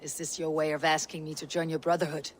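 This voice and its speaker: Low husky female voice, actress playing vengeful young Viking warrior, terse and brooding, game dialogue, ambient noise behind. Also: little pitch movement, fast, natural voice. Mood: wry, guarded. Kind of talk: dramatic video game acting.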